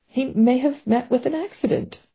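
A woman's voice: medium pitch, lively intonation, moderate pace, warm tone, husky. A woman voicing a rattled, earnest widowed lady.

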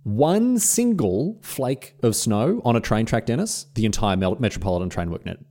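A young man co-hosting a casual podcast, animated and jokey, slipping into playful voices for quotes. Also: medium pitch, moderate pace. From Australia. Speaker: podcast co-host. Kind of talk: casual chat.

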